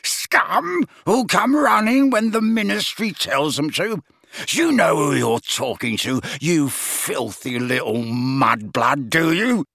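A man's voice: fairly high pitch, very animated, measured pace, gravelly, pushed and bright, slightly rough, dry recording, exaggerated voice. A man voicing a vicious old wizard father in an audiobook, bellowing and jeering with contempt. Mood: enraged. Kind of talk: dramatised audiobook character voice.

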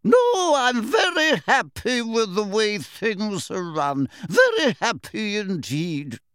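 Brief: man doing a jolly old-school witch teacher, high and slow, bouncy pitch. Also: big pitch swings, fairly bright tone, clean tone, dry recording, clean studio sound, exaggerated voice. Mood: hearty. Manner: hearty, brisk, upper-class. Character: brisk hearty middle-aged witch teacher. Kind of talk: audiobook character voice.

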